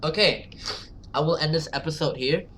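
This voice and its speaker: Bright-voiced horror game streamer reading aloud. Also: mid-range voice, moderate pace, a little hiss or hum. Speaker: gaming video host. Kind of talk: dramatic read-aloud and casual commentary of horror game.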